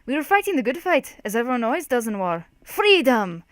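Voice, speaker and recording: A woman's voice: medium pitch, moderate pace, no echo, lightly acted. A woman voicing a rambling old drunken war veteran telling stories in an emphatic, meandering way. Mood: insistent, reminiscing. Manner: odd cadence, emphatic, meandering storytelling.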